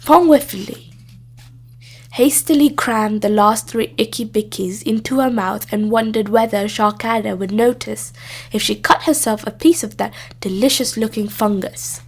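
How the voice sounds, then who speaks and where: A woman with a high voice narrates a children's storybook. She reads from the text in an expressive storytelling style. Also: measured pace. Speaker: storybook reader.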